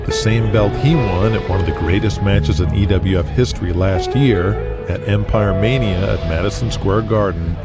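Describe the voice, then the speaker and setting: Deep guy narrating an audio drama, loud music behind him. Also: normal speed.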